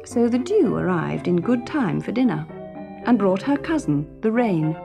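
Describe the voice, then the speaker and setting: A woman narrating a children's story in an expressive, clearly phrased way. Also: mid-range voice, normal speed, over music.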